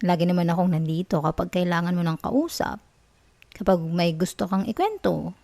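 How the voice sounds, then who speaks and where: A woman playing an obsessive, sweetly manipulative young college girlfriend in an ASMR roleplay, with a Filipino accent and a soft, coaxing, cooing manner. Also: lowish voice, normal speed, gravelly, dry recording. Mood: sweetly reassuring. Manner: coaxing, cooing, giggly reassurance.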